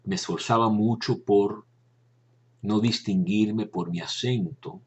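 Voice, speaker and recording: An older man with a Cuban accent, slowly reminiscing about his years as a friar, pausing and restarting as he shows old photos. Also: on the low side, a little mellow, slightly rough, highs cut off. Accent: Cuban Spanish. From Cuba. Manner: reflective, pausing often with fillers and restarts. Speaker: former Dominican friar recounting memories. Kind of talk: unhurried personal reminiscence, showing photos.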